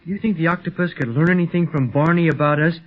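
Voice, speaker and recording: A man's voice: a little high, fast, close to an everyday voice. An actor playing an earnest, inquisitive fifteen-year-old boy adventurer in a 1930s radio serial. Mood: eager, curious. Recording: muffled 1930s radio recording.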